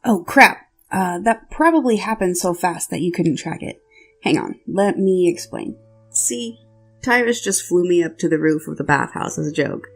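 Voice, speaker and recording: A brusque young fighter acted by a woman with a lowish, casual voice. The delivery is conversational audio fiction over faint music. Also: expressive, moderate pace, slightly rough. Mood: sheepish. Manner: offhand, conversational.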